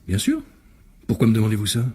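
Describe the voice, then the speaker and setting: An actor playing a young understudy in a radio mystery drama, proud, guarded and quick to take offence. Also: male voice, fairly low pitch, wildly swooping pitch, dark tone, husky, full character voice. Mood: defensive, wary. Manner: guarded, indignant.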